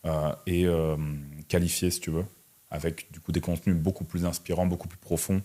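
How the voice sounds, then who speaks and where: A young man in his twenties with a very low voice, talking as an interview guest. He is from Russia and explains himself informally, with slang and conversational tags. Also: flat delivery, brisk, warm tone. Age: 20s. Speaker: interview guest, entrepreneur and online content creator. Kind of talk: casual conversational interview answers.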